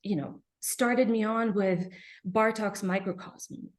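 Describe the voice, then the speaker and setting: A woman from Serbia, a pianist, answering interview questions reflectively with frequent soft fillers and pauses. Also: mid-range voice, fairly even intonation. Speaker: pianist guest.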